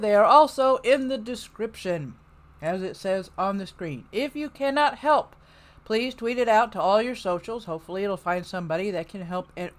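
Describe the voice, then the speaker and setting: A woman with a medium-pitched voice hosts a livestream. She chats casually and throws in informal, wry commentary. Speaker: livestream host.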